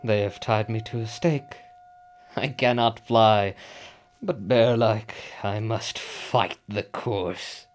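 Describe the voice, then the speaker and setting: A man's voice: lowish voice, fairly even intonation, slow pace, slight background noise. A man playing a doomed, defiant tyrant king in a Shakespearean radio drama, declamatory and swinging between brooding and roaring. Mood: grim defiance.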